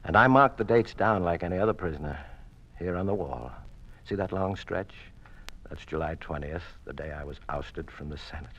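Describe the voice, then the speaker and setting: An actor playing a weary, wry, defiant imprisoned senator in an old-time radio drama, speaking deliberately and with irony. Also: male voice, low-pitched, fairly bright tone, slight background noise. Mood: wry bitterness. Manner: deliberate, hesitant, ironic. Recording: muffled old radio recording.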